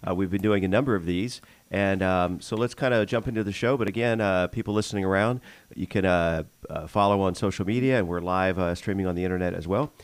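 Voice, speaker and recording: A man co-hosting a live radio talk show, talking in a quick, loose way with many fillers. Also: deep voice, clear, resonant tone, dry recording.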